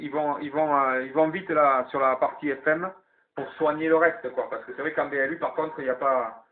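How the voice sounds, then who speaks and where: A man chatting casually over CB radio, explaining things and repeating himself as he runs the round. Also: fairly high pitch. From southwest France. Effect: CB radio transmission. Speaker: amateur CB radio operator running the evening round. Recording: narrow tinny CB radio signal.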